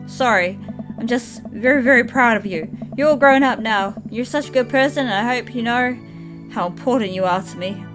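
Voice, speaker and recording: A woman's voice: on the high side, normal speed, natural voice, rough recording. A woman reading as a tender, sentimental dad. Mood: tearful pride. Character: sentimental, tender father of a teenage daughter. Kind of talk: video game dialogue read aloud. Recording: music playing behind.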